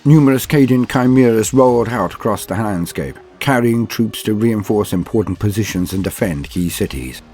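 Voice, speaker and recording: Low, husky man narrating dark lore. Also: lively intonation, faint music behind. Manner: grave, dramatic, measured delivery. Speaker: narrator of a fantasy wargame lore guide.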